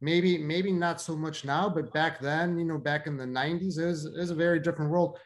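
A man's voice: on the high side, fairly even intonation, brisk. A middle-aged man from Mexico asking a rambling, friendly question over a video call from a dim room at home. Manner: rambling, friendly, many fillers. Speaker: audience member asking a question. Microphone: laptop webcam on a video call.

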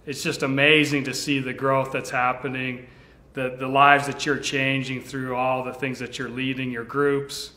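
A middle-aged man, a company vice president, speaks earnestly and reassuringly to sales leaders, with frequent restarts, standing in a bright office. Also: mid-range voice, little pitch movement, normal speed. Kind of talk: video address to sales leaders. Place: large bright office with tall windows, indoors. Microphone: standing before a fixed camera, two-shot. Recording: echoey room.

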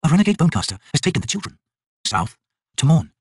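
A stern young shaman of a fantasy tribe, voiced by a male narrator. He sounds cold and urgent, with a husky edge and lively, forceful pitch swings. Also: fast talker, dry recording, clean studio sound, full character voice. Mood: stern urgency.

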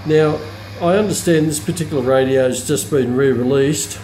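A man giving an informal, unscripted product demonstration as a hobbyist reviewer, often pausing mid-sentence as he explains features. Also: mid-range voice, dark tone. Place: indoor room with hard countertop. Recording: roomy echo, clear background noise.

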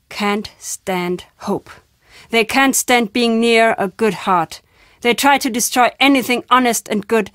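Actress as worldly old woman storyteller, higher-pitched. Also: no room sound, studio quality, lightly acted. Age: older. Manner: dramatic, emphatic storytelling with foreboding turns. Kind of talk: video game voice acting.

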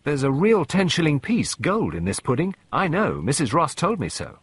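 A man's voice: fairly high pitch, wildly swooping pitch, normal speed, breathy edge, no echo, pitched above the performer's own voice, heavily acted. A man voicing an eager, slangy, exclamatory schoolboy in an audiobook. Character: eager, slangy English schoolboys at Christmas dinner. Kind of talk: audiobook character voice.

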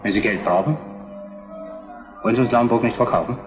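A man's voice: medium pitch, a little fast, muffled recording, natural voice. An actor playing a shrewd middle-aged Prussian statesman in a German radio drama, speaking in a dry, worldly way. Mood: dry, casual. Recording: hall reverb, music behind.

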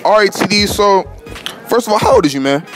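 A young American man hosting playful interviews at a high school in a casual, slangy way. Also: animated, brisk, soft background music. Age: young adult. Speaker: host of street-style prank interviews. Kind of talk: casual joking public interview. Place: indoors at a high school, rooms and hallway.

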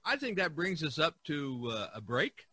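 A man hosting a casual podcast discussion, talking in a halting, rambling way. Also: on the high side, sing-song, bright, forceful tone, breathy edge, no echo. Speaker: podcast host.

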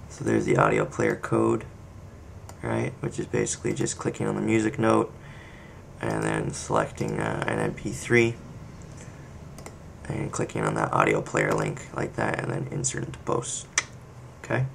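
A man giving a casual, unscripted software walkthrough, thinking aloud with hesitant pauses. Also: a bass voice, big pitch swings, creaky. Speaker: software tutorial presenter. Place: indoor screen-recording voice-over. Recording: faint background noise.

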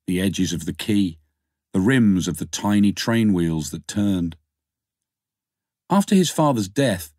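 A man's voice: fairly low pitch, darkish tone, no echo, studio quality. A man reading a short story as an audiobook narrator, in scripted narration.

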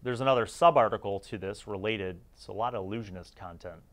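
A man's voice: fairly low pitch, fast talker, a bit bright. A man hosting a retro tabletop gaming review, mixing read-aloud excerpts with informal, chatty commentary. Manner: informal, slangy, chatty asides.

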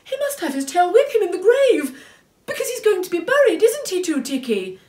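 A woman's voice: very high-pitched, very animated, unhurried, pitched above the performer's own voice, full character voice. A woman voicing a tender-hearted young male storybook troll, earnest and plaintive. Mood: very upset. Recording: echoey room.

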